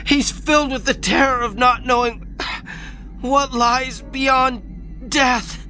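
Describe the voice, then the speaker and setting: A man playing a tormented doctor in a radio drama, his voice strained, halting and pleading, crying out in anguish. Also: extremely high pitch, fairly even intonation, sharp tone, full character voice. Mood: halting, afraid. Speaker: actor. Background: music.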